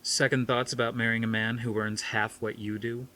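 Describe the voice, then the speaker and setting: A man's voice: mid-range voice, flat delivery, normal speed, pushed and bright, a little hiss or hum, natural voice. A man reading a novel aloud as a blunt, sardonic, skeptical male scientist with dry delivery.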